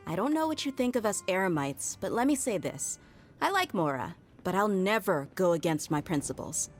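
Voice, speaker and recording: A woman's voice: medium pitch, very animated, normal speed, soft background music, natural voice. An actress playing a tough, principled woman mercenary bodyguard in a game, blunt and casual in manner. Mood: earnest. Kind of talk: game voice acting.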